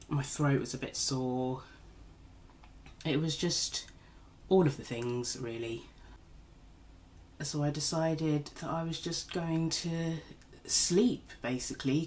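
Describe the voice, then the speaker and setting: A woman's voice: a bass voice, a little mellow. A woman recovering from a cold, slightly nasal, chatting in a rambling, self-deprecating way while doing her makeup at home. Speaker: beauty vlogger. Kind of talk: casual makeup tutorial chat.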